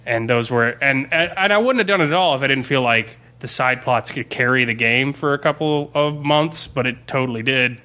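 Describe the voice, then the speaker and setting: A man with a bright, forward voice and middling pitch talks through his game-mastering in a casual discussion. He explains at rambling length and swears freely. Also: a little fast, few pauses.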